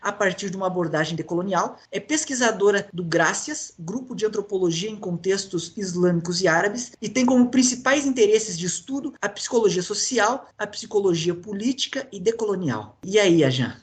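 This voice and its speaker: A man's voice: sits high, a little fast, few pauses. A man with a Brazilian accent reads out a formal guest introduction as podcast host.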